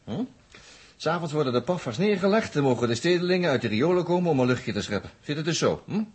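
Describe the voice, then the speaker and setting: An actor in a 1960s radio drama playing a defiant captive space traveller from Earth, with a husky voice and a sardonic, teasing, casual manner. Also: male voice, on the high side, lively intonation, fast talker. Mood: wry, teasing. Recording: old 1960s radio recording.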